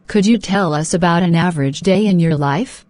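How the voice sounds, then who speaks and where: A woman playing a polite, eager young woman in a scripted cartoon dialogue for English learners, asking and answering in a deliberate way. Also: on the low side, a little mellow, dry recording, natural voice. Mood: polite, interested.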